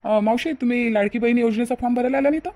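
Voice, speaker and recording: A woman's voice: a little high, fairly even intonation, very fast, darkish tone, lightly acted. A woman voicing a sly young male swindler in a Marathi cartoon, with a rural Vidarbha accent and pushy, reassuring patter. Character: sly young male con man, persuasive, falsely reassuring. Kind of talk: Marathi cartoon moral-story dialogue.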